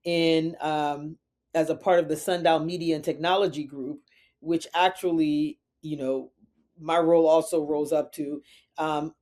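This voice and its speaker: A woman's voice: sits low, darkish tone. A middle-aged woman from Liberia and New York City answering interview questions conversationally, emphatically, often checking in with "right?". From Liberia and the United States, raised in New York City. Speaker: podcast guest, community executive.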